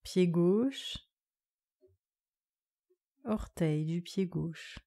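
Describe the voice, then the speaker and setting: A woman yoga nidra instructor leading a guided relaxation meditation in a calm, soothing, measured way. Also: on the low side.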